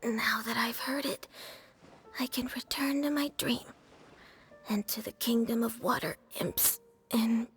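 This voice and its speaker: A woman voicing a sweet, sleepy, loving small child in a game, soft and whispery, with drowsy affection. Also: fairly high pitch, steady tone, unhurried, pauses often, slightly rough, faint music behind, full character voice. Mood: drowsy, tender. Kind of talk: emotional game dialogue.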